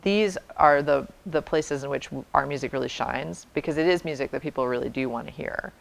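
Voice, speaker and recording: A woman's voice: very deep voice, fairly quick, gravelly. A woman being interviewed as a label president, explaining things informally in a plainspoken, emphatic and wry way.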